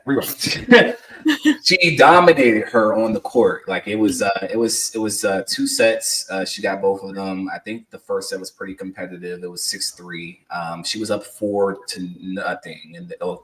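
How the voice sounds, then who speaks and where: A man's voice: deep voice, fairly bright tone, far from the mic, rough recording. A man in his thirties co-hosting a sports podcast, telling stories in a rambling way with lots of fillers. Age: 30s. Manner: rambling, filler-heavy storytelling. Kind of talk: casual podcast chat.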